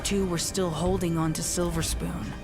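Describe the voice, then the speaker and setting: A woman reading a dark story in a dramatic, deliberate and suspenseful way. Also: on the low side, flat delivery. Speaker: audiobook narrator of fan fiction. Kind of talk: dramatic scripted story narration. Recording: loud music under the voice. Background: music.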